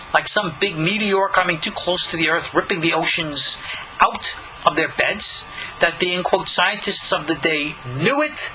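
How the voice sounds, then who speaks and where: Bright-voiced rabbi teaching conversationally. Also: male voice, high voice, slight background noise, rough recording. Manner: conversational, asides and rhetorical questions, restarts. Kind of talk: lecture-style commentary on a text.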